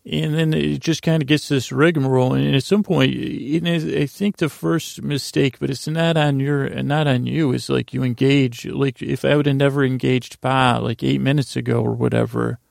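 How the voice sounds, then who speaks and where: A man rambles as the host of a bedtime podcast. His improvised talk meanders and keeps interrupting itself. The voice is deeply gravelly with fry, and the sound is very dry. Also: flat delivery, a little mellow, dry recording. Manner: meandering, halting, self-interrupting. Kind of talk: rambling improvised monologue to distract listeners.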